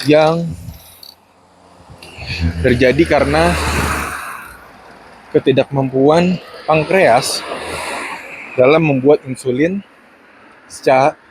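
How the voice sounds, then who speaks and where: A man's voice: medium pitch, a little hiss or hum, poor audio. A young Indonesian man, a graduate student and podcast host, chatting casually and explaining things while walking outdoors on a campus. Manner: relaxed, explanatory, mixes in English words. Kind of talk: casual walking vlog chat. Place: outdoors on a university campus in autumn.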